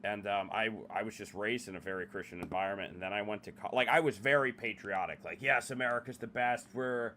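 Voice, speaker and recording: A young man hosts a podcast in loose conversation, blunt and heavy on swearing. His voice sits on the low side, and a faint hiss of noise sits behind it. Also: steady tone, fast, few pauses, pushed and bright. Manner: blunt, profane, ranting. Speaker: podcast host. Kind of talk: casual podcast chat.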